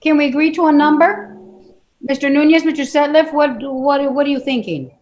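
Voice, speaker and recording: A woman's voice: sits high, normal speed, darkish tone, dull audio. A woman judge questioning from the bench in court, blunt and emphatic in an exasperated way.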